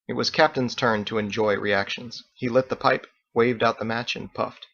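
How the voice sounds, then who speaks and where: A man's voice: medium pitch, steady tone, normal speed, creaky, fairly bright tone, lo-fi sound. A man reading his own novel aloud as scripted audiobook narration.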